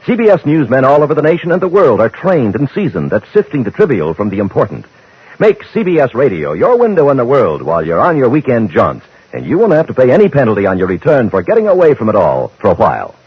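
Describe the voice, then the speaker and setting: An American man delivers polished, emphatic radio announcements with a lively swing in pitch. His voice is rough and husky, heard on a muffled 1950s broadcast recording. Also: quick pace, no echo. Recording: dull old radio recording, faint music.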